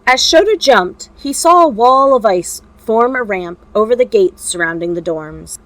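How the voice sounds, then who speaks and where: A woman reading scripted fiction as an amateur narrator, with wry, expressive storytelling. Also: on the high side, unhurried, no room sound, poor audio.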